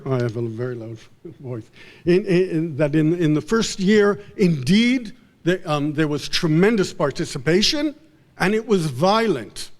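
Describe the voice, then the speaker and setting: Halting, higher-pitched male lecturer. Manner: halting, often repeats and restarts words and phrases. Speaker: professor, lecturer. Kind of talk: academic lecture, unscripted.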